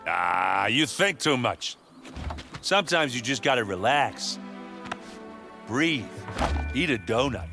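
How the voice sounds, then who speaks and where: An actor playing a cocky, goofy and affectionate sidekick in a comedic video game, jokey and boastful. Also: male voice, mid-range voice, big pitch swings, slow pace, vocal fry, long pauses, exaggerated voice. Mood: relaxed, playful. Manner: jokey, laid-back, boastful. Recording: music underneath the voice. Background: music.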